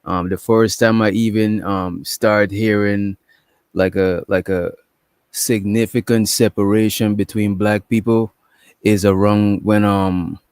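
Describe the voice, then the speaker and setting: Man from guyana, guest dj, low voice, dry sound, relaxed and slangy. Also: slow pace, clear, resonant tone, no echo. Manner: laid-back, slangy, many filler sounds. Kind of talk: casual online talk-show chat.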